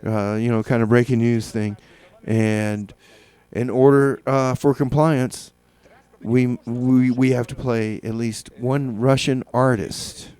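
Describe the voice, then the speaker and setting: A man's voice: mid-range voice, flat delivery, takes it slowly, darkish tone, clear, resonant tone. A man hosting a music radio show with casual, hesitant, tongue-in-cheek patter, often repeating phrases for effect. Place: radio studio.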